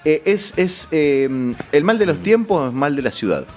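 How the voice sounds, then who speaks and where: An Argentine man with a Rioplatense accent hosts a loose, joking chat with friends. His pitch moves around a lot as he jumps in with banter and swearing. Music plays behind him. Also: big pitch swings, moderate pace. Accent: Rioplatense Argentine Spanish. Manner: banter, swearing, frequent interjections. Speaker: talk show host.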